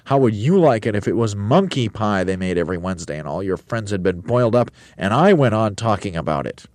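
A man's voice: on the low side, animated, brisk, a little mellow, no room sound, voice pushed up, close to an everyday voice. A man voicing a touchy, indignant bird with polite, pleading phrasing in a storybook.

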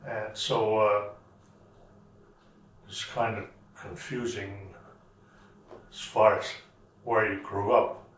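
A man in his eighties who grew up in Germany, slowly and haltingly recounting his early life in an oral history interview at home. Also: on the low side, level tone, fairly bright tone. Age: 80s. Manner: halting, searching for words. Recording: roomy, from far off.

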